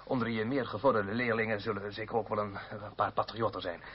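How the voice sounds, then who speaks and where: A man's voice: lowish voice, fairly even intonation, quick pace, close to an everyday voice. An actor playing an earnest male politician in a radio drama, explaining and placating, at times hesitant. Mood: hesitant, persuading. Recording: dull noisy old radio recording.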